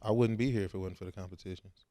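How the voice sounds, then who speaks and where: A man's voice: low voice, fairly even intonation, rapid-fire, dark tone. A laid-back man, talking casually and with slang as a rapper in an interview.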